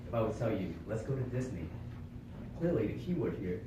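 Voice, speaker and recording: A man's voice: fairly low pitch, big pitch swings, brisk, noisy background. A young man, from Vietnam and raised in Florida, giving a prepared club speech in an earnest, explanatory way with rhetorical questions. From born in Vietnam, raised in Florida. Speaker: club speaker. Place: meeting room with small audience. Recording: echoey room from far off.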